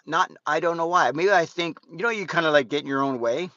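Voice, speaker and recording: A man's voice: fairly high pitch, brisk, sharp tone, no echo, lo-fi sound. A man in his fifties rambling casually in a live vlog while driving, with many restarts and frequent asides to his viewers. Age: 50s. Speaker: fitness vlogger. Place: inside a moving car. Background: faint car and road noise.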